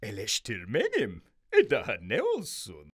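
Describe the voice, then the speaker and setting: A woman's voice: huge pitch swings, measured pace, breathy edge, full character voice. A woman voicing a pompous, vain grown rooster, a lifeguard and critic in a dubbed cartoon, showy and dithering. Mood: boastful. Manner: showy, boastful, dithering, squeaky swoops. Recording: cartoon soundtrack with music behind.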